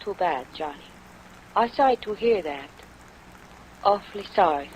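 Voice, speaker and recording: A woman's voice: mid-range voice, lively intonation, normal speed, darkish tone, lightly acted. A woman playing a detective's devoted old flame, coy and intimate, in vintage radio drama style. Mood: disappointed, wistful. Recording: noisy old radio recording with rain.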